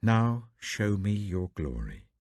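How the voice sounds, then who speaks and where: British guy acting a troubled prophet in a bible audiobook, pleading and earnest, deep husky voice that swings a lot. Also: big pitch swings, takes it slowly, a little mellow, no echo, clean studio sound, exaggerated voice. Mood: earnest entreaty. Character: Moses, an earnest, troubled male leader pleading.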